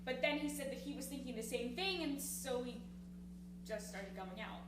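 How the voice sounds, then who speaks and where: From far off in an echoey theatre comes a woman as a high-pitched teenage girl. She is an earnest, pushy best friend in a stage drama reading. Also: normal speed, natural voice. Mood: sincere, friendly. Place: theatre stage, live performance. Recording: distant, echoey, noisy theatre recording with hum.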